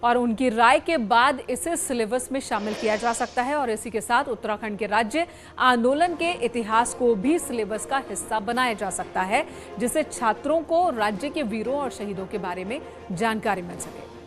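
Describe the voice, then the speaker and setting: Higher-pitched woman news anchor over music. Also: lively intonation, normal speed. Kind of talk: television news presenting.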